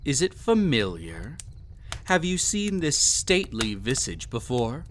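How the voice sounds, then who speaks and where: Villain emperor, played by a man: arrogant, possessive, theatrical, highly animated mid-range voice, some background noise, audio roleplay. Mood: haughty pride. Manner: haughty, formal, mocking, deliberate theatrical phrasing. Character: cruel, arrogant, possessive male emperor, devious and condescending. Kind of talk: scripted audio roleplay, villain monologue addressed to listener.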